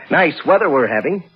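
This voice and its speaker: An actor playing a stern, protective middle-aged American father in a 1960s radio drama, speaking and narrating in dry, formal words. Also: on the high side. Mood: stiff, awkward. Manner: dry, wry, formal wording. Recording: dull old radio recording, music behind.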